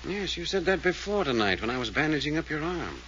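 Casting: cool, clipped male investigator, lively intonation, quick delivery, 1940s radio play with vintage hiss. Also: fairly high pitch. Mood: businesslike. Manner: clipped, probing, dry. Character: brisk, unflappable male private detective. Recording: scratchy old-time radio broadcast, hissy, muffled.

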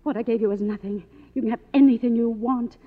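An actress playing an imperious, desperate, deluded film star of fifty in 1950s radio drama style. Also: female voice, on the high side, steady tone, quick pace, phone-quality sound, lightly acted. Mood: desperate pleading. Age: 50s. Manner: melodramatic, grandiose. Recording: thin old radio recording, echoey hall.

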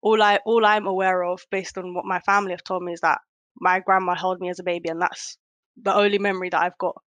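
A woman's voice: on the low side, flat delivery, fast talker, a bit bright, no echo. A young woman born in Kenya, speaking reflectively in a casual conversation, with lots of "um"s. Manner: reflective, many fillers.

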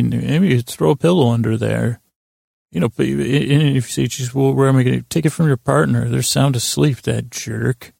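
A man with a very creaky, gravelly voice at a middling pitch rambles as a bedtime podcast host. He stammers, repeats himself and leaves long pauses as he drifts into gentle, whimsical tangents. The sound is close and dry. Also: no echo. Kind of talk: rambling bedtime monologue to lull listeners to sleep.

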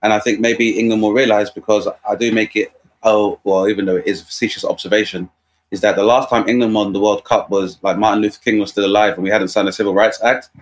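Fast-talking low-voiced man. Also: level tone, a little hiss or hum. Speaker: podcast panelist. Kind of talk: casual discussion.

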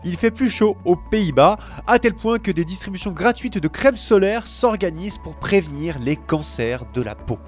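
A man's voice: high voice, animated, normal speed, few pauses, over music. A man hosting a light, chatty radio news show, playful and teasing with hesitant asides.

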